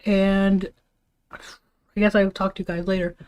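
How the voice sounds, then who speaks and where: A woman's voice: medium pitch, level tone, unhurried, a little mellow, clear, resonant tone. A tired woman talking casually on a livestream, giving her opinions in an informal, rambling way with some casual swearing. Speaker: livestream host.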